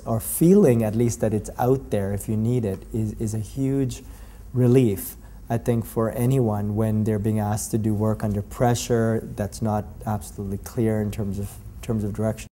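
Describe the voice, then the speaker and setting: A man's voice: fairly low pitch, steady tone, a little slow, dark tone. A man in his forties, a lawyer from Canada, giving a thoughtful interview answer and often repeating words as he searches for the right phrasing.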